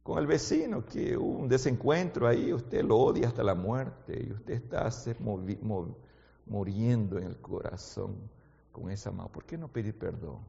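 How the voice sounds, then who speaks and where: A man preaching a sermon in a language that is not his first one, as his native Portuguese slips in. He shifts between quiet, intimate talk and loud, fervent exhortation, and keeps asking his listeners questions. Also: lowish voice, expressive, normal speed, gravelly, in a large hall, dull audio. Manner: asks the audience frequent questions.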